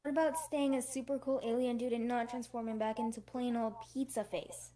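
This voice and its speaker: Woman as high-voiced sassy girl, off the mic. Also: steady tone, fairly quick, crowd noise, lightly acted. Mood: sarcastic. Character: sassy, feisty young girl cousin. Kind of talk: amateur cartoon table read. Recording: distant mic, voices in background.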